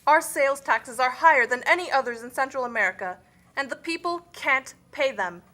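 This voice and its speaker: High, bright, burdened poor-country spokesperson, woman acting. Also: lively intonation, a little fast. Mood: troubled. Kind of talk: scripted educational puppet show.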